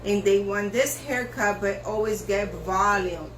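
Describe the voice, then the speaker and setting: A woman hairstylist whose first language is Spanish, giving slow step-by-step instructions for a haircut, with lots of repetition. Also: medium pitch, slight background noise. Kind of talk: haircut tutorial narration. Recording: echoey room with faint ambience.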